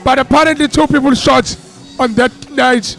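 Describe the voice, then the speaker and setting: High gravelly South African man. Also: steady tone, normal speed, fairly bright tone, soft background music. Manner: laughing, repeats words while thinking. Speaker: actor guest. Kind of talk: casual interview chat.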